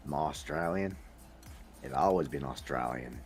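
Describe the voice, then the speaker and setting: Very deep-voiced Scottish man chatting. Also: unhurried, over music. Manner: slips into accents while talking. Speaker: podcast host. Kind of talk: casual chat.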